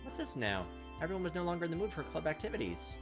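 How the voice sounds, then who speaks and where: A game host narrates a visual novel aloud over clear background music. The delivery is lively and moves up and down freely, slipping from reading into playful, casual remarks at the characters. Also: medium pitch, rapid-fire. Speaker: gaming video host. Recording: music behind the voice.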